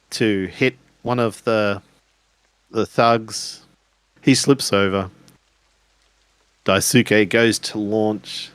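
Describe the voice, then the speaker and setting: A man improvising action narration as a player in a tabletop roleplaying game, in halting, pieced-together phrases. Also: on the low side, fairly bright tone, clear, resonant tone, no room sound.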